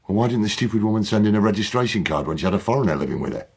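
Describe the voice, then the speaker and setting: A man playing a gruff, brusque, impatient detective badgering a junior in a radio drama. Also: lowish voice, lively intonation, racing, a little mellow. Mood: irritated.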